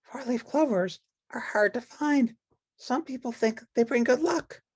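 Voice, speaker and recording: A woman voicing a sweet, eager little girl puppet in a children's storybook, speaking softly. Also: a little high, unhurried, breathy edge, dry recording, clean studio sound, voice pushed up. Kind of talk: children's storybook read-along character voice.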